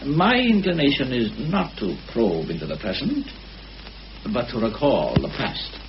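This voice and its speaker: Inquisitive police inspector, genial but probing, hugely varied intonation, dull recording with faint hiss. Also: male voice, medium pitch, sing-song, measured pace. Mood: probing, insistent. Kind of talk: radio drama acting. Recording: muffled, noisy old radio drama recording.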